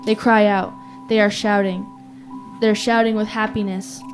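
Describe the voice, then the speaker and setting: A woman's voice: mid-range voice, flat delivery, measured pace, lightly acted. A teenage girl playing an urgent announcer in a verse radio play, declaiming in short phrases as she watches a city fall. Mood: bitter dismay. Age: teens. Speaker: student actor. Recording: music behind the voice.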